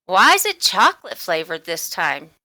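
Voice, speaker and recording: A woman voicing a cheeky young boy in a children's storybook, with drawn-out, emphatic words. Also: on the low side, wildly swooping pitch, bright, forceful tone, no room sound, exaggerated voice. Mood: puzzled. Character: young boy, cheeky chocolate-loving son. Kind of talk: children's storybook read-aloud.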